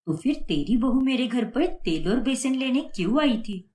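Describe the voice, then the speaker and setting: A woman's voice: a little high, lively intonation, moderate pace, dark tone, natural voice. A woman voicing a middle-aged neighbor aunty in a Hindi cartoon story, inquisitive and gossipy. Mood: prying.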